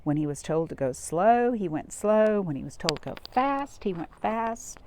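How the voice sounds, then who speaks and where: A woman reading a picture book aloud to young children, expressive, with dramatic gasps and questions to her listeners. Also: medium pitch, moderate pace, slight background noise. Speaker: storytime reader.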